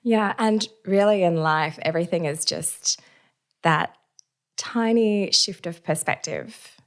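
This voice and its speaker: A woman with a raspy, hoarse voice from a recent illness, talking reflectively as a podcast guest with many soft fillers. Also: fairly low pitch, slow pace. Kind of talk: casual interview chat.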